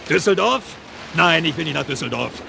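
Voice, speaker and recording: An actor playing a desperate, menacing fugitive criminal in a German radio drama, gruff, agitated and defiant, with a gravelly voice. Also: male voice, fairly high pitch, expressive, normal speed. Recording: motor noise behind voice.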